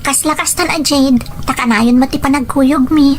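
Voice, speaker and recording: A woman's voice: high voice, steady tone, moderate pace, soft background music, close to an everyday voice. A woman playing a naive, devoted young student from the provinces in a scripted audio drama. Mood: defensive, lovestruck. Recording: noticeable background noise, ambience.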